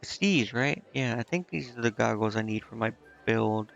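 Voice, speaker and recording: A man's voice: mid-range voice, normal speed, gravelly, fairly bright tone. A man casually commenting on a video game he is streaming, thinking aloud and reading bits of on-screen text. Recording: slightly dull lo-fi mic, game music behind.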